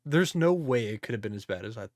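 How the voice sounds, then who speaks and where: A man's voice: medium pitch, expressive, fast, a little mellow, dry recording, clean studio sound. A man co-hosting a podcast, ranting casually and emphatically with strong opinions about films. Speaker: podcast co-host. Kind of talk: casual opinionated movie chat.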